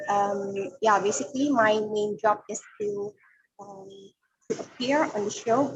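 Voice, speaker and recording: A woman's voice: mid-range voice, husky, lo-fi sound. A woman asking a question in a webinar, hesitant, with many fillers and restarts. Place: cafe. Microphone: video call.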